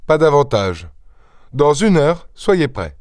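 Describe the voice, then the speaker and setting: Man playing a calm, self-assured gentleman detective. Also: fairly high pitch, no echo, studio quality, pitched above the performer's own voice. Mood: brisk, commanding. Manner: measured, terse, self-assured.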